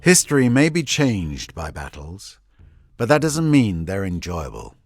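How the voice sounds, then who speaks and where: An actor playing a wry, worldly narrator in a historical strategy game, with theatrical, droll delivery. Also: male voice, low-pitched, huge pitch swings, unhurried, no room sound, studio quality, faint music behind, full character voice.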